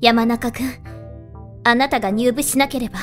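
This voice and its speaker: A woman playing a stern, formal student council president in a voice drama, measured and resolute. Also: fairly high pitch, steady tone, fairly bright tone, soft background music, natural voice. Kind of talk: amateur voice drama acting.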